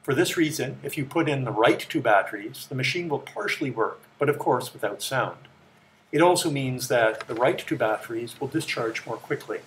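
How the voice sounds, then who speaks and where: A middle-aged man giving a measured, matter-of-fact scripted explanation as the presenter of a technical tour. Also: medium pitch, animated, fairly bright tone, a little hiss or hum, low-quality recording. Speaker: presenter of a vintage electronics tour.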